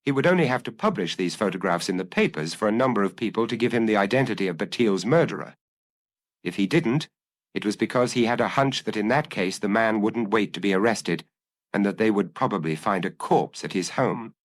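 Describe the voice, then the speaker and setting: Male audiobook narrator for detective fiction: low register, animated inflection, measured storytelling. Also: no echo.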